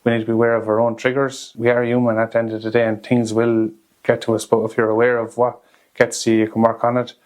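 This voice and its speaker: A man's voice: mid-range voice, monotone, quick pace, a little mellow. An Irish man, a care worker, talking reflectively in an interview.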